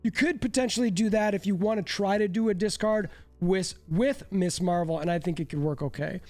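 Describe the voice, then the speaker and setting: A man's voice: high voice, fairly quick, darkish tone, no echo, soft background music. A man with a stuffy, congested voice chatting casually and a bit self-deprecatingly as he breaks down card game decks. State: congested from a cold. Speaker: card game content creator. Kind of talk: casual deck breakdown commentary.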